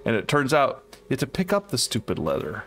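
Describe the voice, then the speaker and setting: A man hosting a co-op game playthrough, chatting casually and thinking out loud as he plays. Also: medium pitch, huge pitch swings, fairly quick. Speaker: let's play host. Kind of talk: casual co-op gameplay chat.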